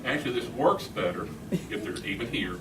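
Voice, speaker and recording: A man's voice: fairly low pitch, animated, moderate pace, over a noisy crowd. A man doing a magic act live on stage, talking his way through it in casual, teasing, improvised patter. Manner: joking, teasing. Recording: echoey, noisy live room, distant mic.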